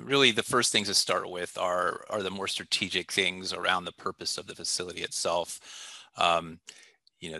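A man's voice: low-pitched, pushed and bright, dry recording. A man in his thirties answering interview questions over a video call on a headset mic, thoughtful and full of fillers and restarts. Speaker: warehouse technical product manager. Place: small room indoors.

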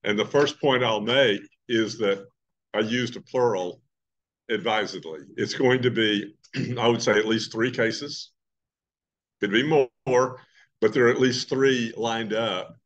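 A man in his sixties, a trial lawyer, giving a relaxed talk to a meeting in a loud voice. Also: fairly low pitch, a little slow, lo-fi sound. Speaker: guest speaker, trial lawyer. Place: indoor meeting room. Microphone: webcam from a distance.

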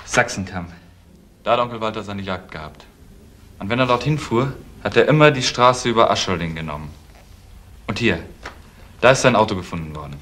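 An actor playing a suspicious, needy young nephew in a crime radio play, with an intense, fixated way of talking. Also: male voice, lowish voice, expressive, a little fast, pauses often, fairly bright tone, slight background noise. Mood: brooding, insistent.

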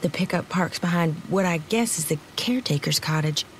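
A woman's voice: fairly low pitch, brisk, slight background noise, lightly acted, low-quality recording. An actress playing a confused young woman left for dead, narrating her story in a casual, wry, hesitant way for an audio drama. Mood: wary. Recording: dramatic music behind the voice.

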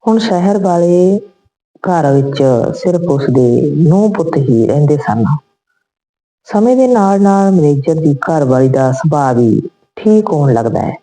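A woman reading a scripted moral story as narrator, in measured phrases. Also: low voice, expressive, dark tone, rough recording.

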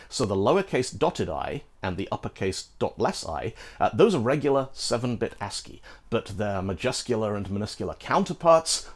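A middle-aged British man hosting a scripted programming lesson, lively and playful, with asides to the viewer, filmed in a studio. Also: lowish voice, husky. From Britain. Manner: animated, gesturing, playful asides. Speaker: programming video host. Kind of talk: scripted tech explainer. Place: studio in front of a green screen.

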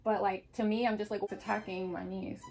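A woman in her forties telling stories in an animated, casual chat with a friend, peppered with "like". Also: medium pitch, a little fast, faint music behind. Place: small living room, indoors. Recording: clearly noisy room ambience.

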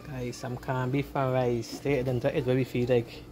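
A woman's voice: rumbling low, flat delivery, moderate pace. A woman with a Guyanese accent chatting casually to viewers while she cooks in her home kitchen, often repeating her words. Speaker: cooking vlogger. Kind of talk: casual cooking vlog chat. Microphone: handheld phone camera. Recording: noisy phone recording with frying sizzle.

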